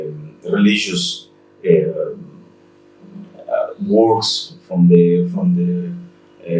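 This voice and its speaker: Brief: deep-voiced Salvadoran artist, native Spanish speaker, halting English, recorded from a distance in an echoing gallery. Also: male voice, darkish tone. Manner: halting, searching for words with many fillers. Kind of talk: casual interview. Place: art gallery.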